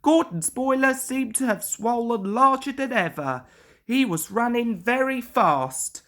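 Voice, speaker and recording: Slow, animated woman reading a children's story. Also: a little high.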